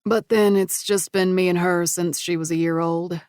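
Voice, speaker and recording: A woman's voice: fairly low pitch, fairly even intonation, moderate pace, darkish tone, clean tone, no room sound, clean studio sound, close to an everyday voice. A woman voicing a protective Texan father, friendly and relieved, with a drawl.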